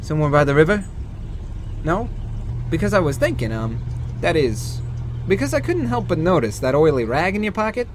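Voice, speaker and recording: An actor playing a jittery, eccentric young cartoon bootlegger, wordy, theatrical and prone to rambling and hesitating. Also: male voice, fairly high pitch, very animated, normal speed, long pauses, slight background noise. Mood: rambling, hesitant.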